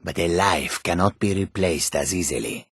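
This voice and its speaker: Deep, husky, self-assured catfolk adventurer. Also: male voice, moderate pace, dry recording, studio quality. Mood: calm, courteous. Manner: self-assured, formal, speaks of himself in third person. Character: proud, courteous male catfolk mage and warrior. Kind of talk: video game character dialogue.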